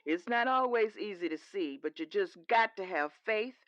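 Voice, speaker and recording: A woman's voice: medium pitch, lively intonation, moderate pace, dry recording, lo-fi sound, natural voice. A woman playing a devout older mother in an audio drama, patient and reassuring, firm but tender, with a preachy streak. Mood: gently counseling.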